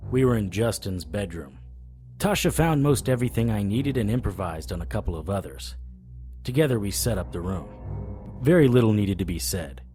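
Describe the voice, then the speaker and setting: An actor playing a wry, hard-boiled occult investigator, narrating and speaking in a scripted audio drama. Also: male voice, on the low side, darkish tone, close to an everyday voice. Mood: confiding, grave. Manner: wry, sardonic.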